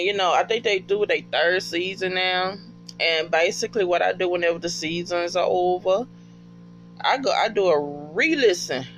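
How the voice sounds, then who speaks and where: High-pitched, creaky-voiced man. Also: a bit bright. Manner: slangy, profane, emphatic, with repeated phrases. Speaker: podcast fan giving recommendations. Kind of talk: casual, unscripted chat.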